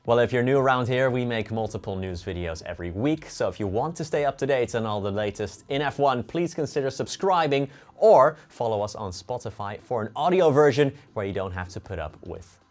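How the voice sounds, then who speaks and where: A man's voice: medium pitch, lively intonation, fairly quick. A man presenting scripted sports news as the host of an online video. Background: background music.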